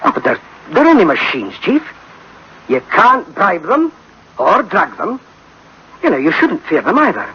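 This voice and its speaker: A man playing a defensive, excitable technician in a radio drama, husky and insistent as he explains. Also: high voice, a little slow, pauses often, exaggerated voice. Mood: reassuring. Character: defensive, excitable male technician explaining away his machines' faults. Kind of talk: 1960s-style radio drama acting. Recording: dull old radio recording.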